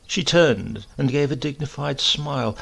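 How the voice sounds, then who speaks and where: Husky, very creaky male storyteller. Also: medium pitch, a little slow, fairly bright tone, poor audio. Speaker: audiobook narrator. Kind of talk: scripted comic story narration.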